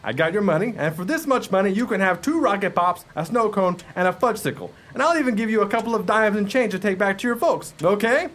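A man's voice: sits high, expressive, fast talker, hardly any pauses, a bit bright. A man acting in a radio play as a brash, bossy veteran ice cream salesman with a hustler's patter. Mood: chirpy selling.

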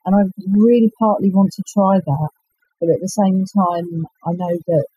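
A woman, an author chatting in an interview in a halting, self-effacing way. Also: medium pitch, dark tone, muffled recording, a little hiss or hum. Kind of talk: casual interview chat.

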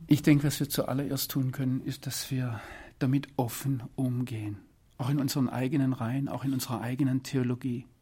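A man from Swabia, giving earnest, deliberate interview answers. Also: mid-range voice, steady tone, mellow. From Swabia, Germany. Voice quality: husky. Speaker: interview guest.

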